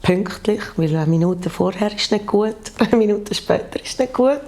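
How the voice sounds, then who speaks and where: A woman in her forties with a Swiss German accent, a postal worker speaking in a measured, matter-of-fact way in a documentary interview. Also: mid-range voice, dark tone. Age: 40s.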